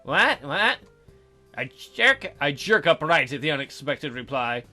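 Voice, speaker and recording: A man's voice: on the high side, sing-song, a little slow, sharp tone, soft background music. A man hosting a let's play, reading a visual novel aloud with joking, mock-incredulous asides. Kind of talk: casual game commentary and reading aloud.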